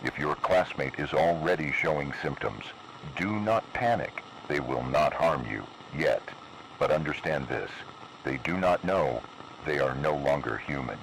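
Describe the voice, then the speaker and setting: A man voicing a cold, menacing emergency broadcast announcer in slow, measured, ominous narration. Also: very deep voice, vocal fry, fairly bright tone, exaggerated voice. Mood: official. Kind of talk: scripted analog horror narration. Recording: noisy analog video recording.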